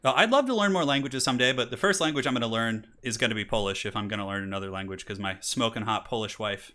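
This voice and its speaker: Bright-sounding guy in his 30s streaming, chatting w donors then casting a strategy game match, gets hyped on intros. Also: medium pitch, fast. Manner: chatty, reads donations aloud, laughs often. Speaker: streamer and tournament caster. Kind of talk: casual live-stream chat and game commentary.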